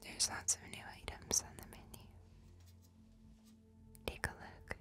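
A young woman speaks in a soft whisper, playing a friendly bartender in a futuristic hotel. She is unhurried and attentive, in an intimate ASMR roleplay style. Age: young adult.

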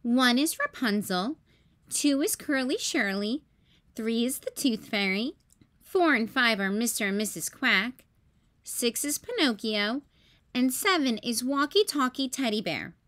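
A woman's voice: a little high, animated, unhurried, a bit bright. A woman reading a storybook as a bossy, puzzled young big-sister bunny, in an emphatic, exclaiming way. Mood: organizing. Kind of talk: children's storybook read-aloud.

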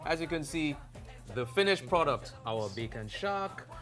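Lively TV host. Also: male voice, a little high, measured pace, faint music behind. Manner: upbeat, lively, playful, hyping the food. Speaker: TV cooking show co-host. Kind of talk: TV presenter chat. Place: TV studio kitchen set.

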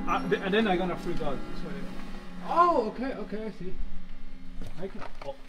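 Excitable high-pitched young man vlogging. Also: normal speed, over music. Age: 20s. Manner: excitable, informal exclamations. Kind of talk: casual vlog chat while exploring. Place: outdoors in snowy castle ruins. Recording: noisy outdoor camera audio.

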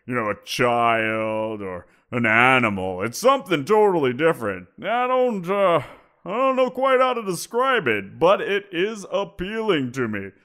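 A man's voice: fairly high pitch, sing-song, a little slow, sharp tone, heavily acted. A man voicing a giant wolf creature in an audio roleplay, teasing and amused, chuckling as he talks. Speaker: voice actor.

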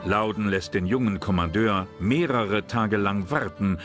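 A man's voice: fairly low pitch, unhurried, a bit bright. A man reading scripted narration for a history documentary. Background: background music.

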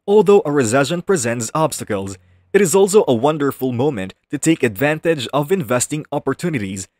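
Male voice-over narrator, mid pitch, steady smooth read, dry close mic. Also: no echo.

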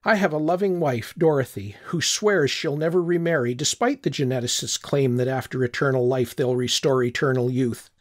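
A man's voice: mid-range voice, moderate pace, hardly any pauses. An older man narrating a short story in the first person, reflective and wistful. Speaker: audiobook narrator.

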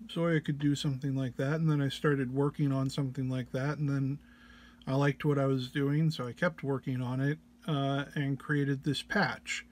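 Slow, halting man giving a tutorial. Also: on the high side. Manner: hesitant, halting explanation. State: under the weather. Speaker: presenter of a gear walk-through. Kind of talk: informal tutorial walk-through.

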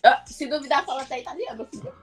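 Young woman, joking, drawls her words, high voice, music behind. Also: rapid-fire. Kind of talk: casual video chat. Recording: video call with music behind.

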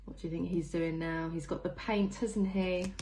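A woman's voice: on the low side, steady tone, moderate pace, mellow, poor audio. A young woman, a primary school teacher, leading a read-along phonics lesson for small children, sounding out words letter by letter and putting questions to them.

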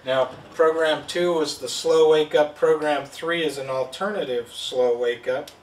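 A man's voice: fairly high pitch, steady tone, unhurried, far from the mic. A man giving a plain, step-by-step product demonstration at a table indoors. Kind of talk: hands-on product demo. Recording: roomy sound from off-mic.

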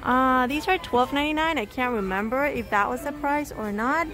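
A woman's voice: sits high, moderate pace. Chatty woman reading out store prices. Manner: reads out prices, exclaims in surprise. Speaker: shopping vlogger. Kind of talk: casual shopping walkthrough. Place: indoor discount store. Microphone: handheld phone camera. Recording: store music playing behind. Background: store music.

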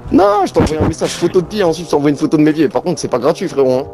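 A man's voice: a little high, wildly swooping pitch, very fast. A man joking around casually in online game voice chat, with cheeky, slangy talk.

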